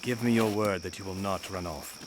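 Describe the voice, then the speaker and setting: A man's voice: deep voice, brisk, noisy background, natural voice. A man playing a stern knightly guardian in an audio drama, speaking in a terse, formal, commanding way. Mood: weary, firm.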